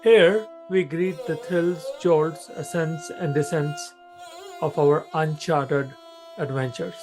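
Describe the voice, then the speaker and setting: A man hosting a podcast, who asks questions in a measured way with many fillers. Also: on the high side, steady tone, music in the background. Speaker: podcast host. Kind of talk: podcast interviewing.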